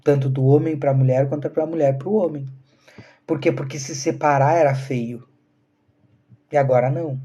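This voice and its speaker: Husky man in his thirties. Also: on the high side, fairly even intonation, moderate pace, long pauses, dark tone, lo-fi sound. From southern Brazil. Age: 30s. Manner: earnest, rhetorical questions, addresses viewers directly. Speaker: spiritual channel host. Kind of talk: casual live-stream talk to viewers.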